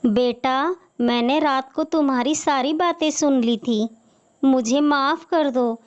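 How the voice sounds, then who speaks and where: Casting: woman as elderly scolding crow matriarch, moral-story cartoon, slow sarcastic delivery, slightly muffled audio. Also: high-pitched. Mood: remorseful pleading. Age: older. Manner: scolding, sarcastic, self-pitying. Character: bitter, nagging elderly mother-in-law crow.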